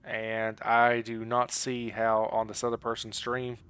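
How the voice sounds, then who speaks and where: A man's voice: medium pitch, monotone, measured pace, a bit bright. A man live-streaming a video game, chatting casually with his viewers in a rambling, joking, apologetic way. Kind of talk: casual chat with stream viewers while gaming. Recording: clearly audible background noise.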